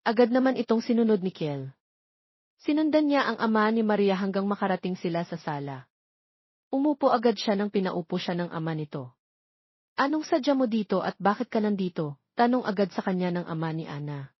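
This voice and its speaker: A Filipino woman narrates a romance story as an audiobook reader. Her voice sits at a middle pitch. The recording is very dry and close, with a slightly dull top end. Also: animated, a little fast, slightly rough, no room sound.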